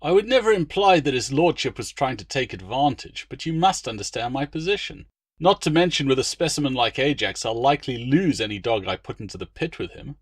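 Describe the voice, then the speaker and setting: A man's voice: mid-range voice, lively intonation, fairly quick, husky, no echo, studio quality, voice pushed up. A man voicing a wary, fawning dog breeder in an audiobook, with an obsequious salesman's manner. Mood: wary, deferential. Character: wary, fawning male dog breeder hawking fighting hounds. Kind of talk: audiobook character dialogue.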